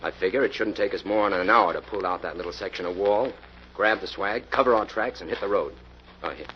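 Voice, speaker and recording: Deep, bossy American gangster played by a man. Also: a little fast, close to an everyday voice. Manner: gruff, impatient, domineering, slangy. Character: tough, bossy, hard-boiled American bank robber, ex-convict. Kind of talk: old-time radio crime acting. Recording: muffled, hissy old radio recording.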